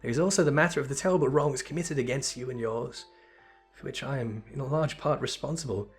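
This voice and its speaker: A man's voice: medium pitch, lightly acted. Man voicing an earnest, regal young sultana, music behind. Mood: gracious, remorseful. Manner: formal, measured, courtly phrasing.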